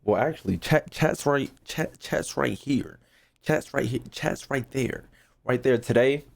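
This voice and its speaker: A man's voice: mid-range voice, unhurried, gravelly. An American man hosting a livestream in casual chat, bantering brashly with viewers, swearing freely and repeating phrases for emphasis. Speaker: streamer hosting a reaction video.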